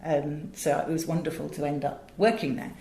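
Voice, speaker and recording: An English woman in middle age speaks in a fairly low voice with a roomy echo around it. She is articulate and talkative, telling stories in a conversational interview style and wandering into asides. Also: fairly even intonation, moderate pace, warm tone, a little hiss or hum. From England. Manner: chatty, digressive, articulate. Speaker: interview guest, voice director and radio producer. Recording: echoey, roomy sound.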